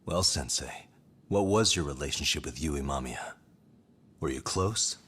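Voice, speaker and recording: An actor playing a cool, persistent private detective in an English-dubbed game drama, questioning in a deliberate way. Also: male voice, extremely low pitch, animated, a bit bright, breathy edge, heavily acted. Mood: probing, calm.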